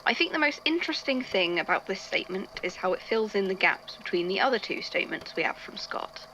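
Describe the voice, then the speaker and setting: A woman's voice: medium pitch, very animated, fairly quick, bright, forceful tone, a little hiss or hum, poor audio. A woman playing an irritable, bookish male archivist in an audio drama, speaking hesitantly into a recorder and thinking aloud. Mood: pensive, dry. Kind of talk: audio drama narration, recorded case notes.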